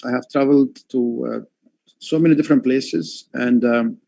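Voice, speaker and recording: Hesitant gravelly man from Egypt. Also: mid-range voice, fairly even intonation, moderate pace, warm tone, no room sound. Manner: hesitant, many fillers and restarts. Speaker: artist. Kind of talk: livestream talk. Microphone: headphones on a call.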